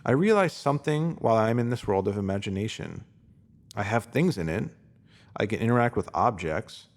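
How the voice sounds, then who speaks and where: A man with a fairly low voice reads a written spiritual essay aloud. His delivery is calm and measured, steady and reflective from line to line. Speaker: reader. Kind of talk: scripted reading of a spiritual essay.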